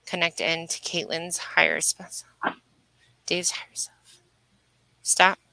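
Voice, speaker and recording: Bright-voiced woman leading an energy healing session. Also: fairly low pitch, level tone, takes it slowly, vocal fry, no echo, poor audio. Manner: repetitive, incantatory phrasing. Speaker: spiritual medium and energy healer leading a livestream session.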